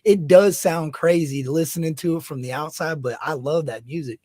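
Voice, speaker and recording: A slightly high-voiced man hosts a casual chat, eager and full of slang. His voice sounds dry and close, with no room around it. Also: normal speed, warm tone, no echo. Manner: enthusiastic, slangy, calls people dog and bro. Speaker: host.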